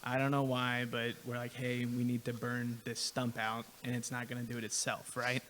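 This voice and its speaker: A young man co-hosting a church podcast, telling a personal story in a relaxed, casual way. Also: medium pitch, normal speed. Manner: relaxed, tells story with lots of "right?". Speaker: podcast co-host.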